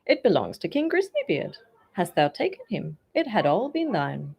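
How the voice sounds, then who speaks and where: A woman's voice: mid-range voice, wildly swooping pitch, moderate pace, creaky, darkish tone, a little hiss or hum, pitched above the performer's own voice. A woman voicing a scornful male beggar musician who answers his bride curtly. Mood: taunting. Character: scornful beggar musician man, secretly a king.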